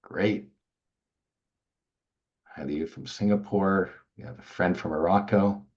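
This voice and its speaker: An older man from Montreal co-hosts a webinar from home, talking loosely and greeting viewers by name as they join. His voice is very deep, and the video-call audio comes through dull and lo-fi. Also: slow, darkish tone. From Montreal, Canada. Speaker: organization founder and webinar co-host. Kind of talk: casual webinar chat. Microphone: video call laptop mic.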